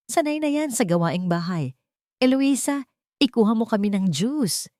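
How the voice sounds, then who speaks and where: A woman's voice: fairly high pitch, expressive, normal speed, darkish tone, no room sound, studio quality, voice pushed up, lightly acted. Woman voicing a scornful, bossy young husband. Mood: scornful, commanding.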